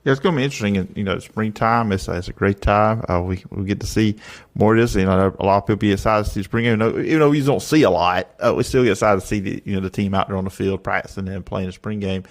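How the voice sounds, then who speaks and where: Low-voiced man hosting sports talk. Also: fast. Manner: easygoing, conversational questions with fillers. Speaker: sports show host. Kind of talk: casual sports talk interview.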